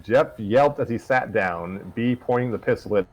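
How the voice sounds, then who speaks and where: A man's voice: medium pitch, moderate pace, darkish tone, poor audio. A man narrating a story aloud informally, as the reader of a casual group session.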